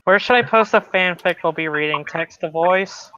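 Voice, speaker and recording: A man's voice: high-pitched, moderate pace, sharp tone, slight background noise. A man chatting offhandedly on a group voice call, pushing plans for the evening. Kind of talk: casual group voice chat. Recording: dull dry voice-call audio.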